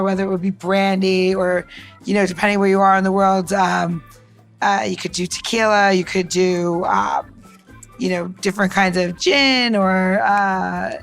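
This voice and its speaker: A woman gives a casual drink-making demonstration over music. She is chatty and rambles along, dropping in playful asides as she goes. Her pitch sits in an ordinary middle range. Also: a bit bright. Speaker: co-host and bartender. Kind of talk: casual cocktail recipe demonstration.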